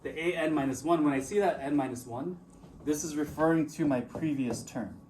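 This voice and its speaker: A man's voice: a little high, a little fast, darkish tone. A man teaching a math class, patiently explaining and asking his students questions, sounding a little out of breath. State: slightly out of breath. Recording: distant mic, noisy classroom.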